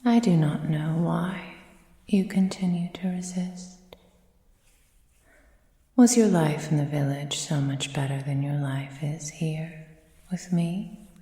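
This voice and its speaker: Woman as a slow, silky, low-voiced vampire mistress. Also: warm tone. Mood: cold, exasperated. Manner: silky, measured, formal, often dropping into breathy whispers. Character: possessive, condescending female vampire mistress tending her captive thrall. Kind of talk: slow, intimate ASMR roleplay. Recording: echoey room with long reverb.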